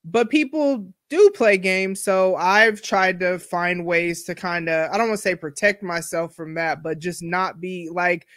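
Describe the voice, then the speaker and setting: A woman's voice: lowish voice, unhurried, hardly any pauses, fairly bright tone, no room sound, clean studio sound. A woman in her forties hosting a solo podcast, talking candidly in a chatty, slangy way full of asides. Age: 40s. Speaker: podcast host. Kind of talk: casual solo podcast monologue.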